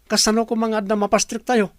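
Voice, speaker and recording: A man's voice: high-pitched, little pitch movement, quick pace, no room sound, clean studio sound, natural voice. An actor playing a stern, demanding company boss and father in a radio drama, with an Ilocano Filipino accent. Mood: businesslike.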